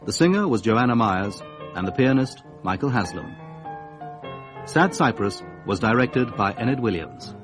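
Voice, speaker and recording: A man's voice: medium pitch, sing-song, normal speed. A man with a British accent reading formal scripted credits as a radio announcer. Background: guitar-like plucked music.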